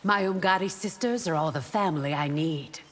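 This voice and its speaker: Game role: proud warrior bodyguard female actor, lowish, measured and defiant, reverb with faint noise. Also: fairly bright tone, close to an everyday voice. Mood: earnest, firm. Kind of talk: video game character acting.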